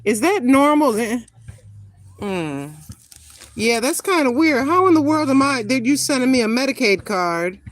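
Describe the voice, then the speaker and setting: A woman in her sixties chatting casually with viewers on a live stream, greeting them by name and talking her thoughts through out loud. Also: high-pitched, sing-song, measured pace. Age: 60s. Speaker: vlogger greeting live chat.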